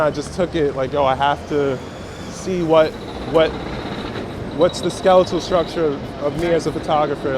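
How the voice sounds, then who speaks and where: Slangy New man, noisy street. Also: on the high side. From Brooklyn, New York. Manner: slangy, conversational. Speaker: street photographer being interviewed. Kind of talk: casual chat. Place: outdoors on city streets. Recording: clear outdoor city traffic noise.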